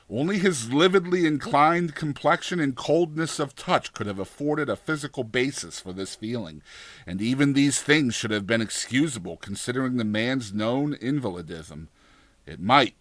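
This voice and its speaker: A man reading a gothic horror story as a dramatized audiobook, with deliberate, theatrical delivery and formal, dramatic pauses. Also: mid-range voice, a bit bright, no room sound. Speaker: audiobook narrator.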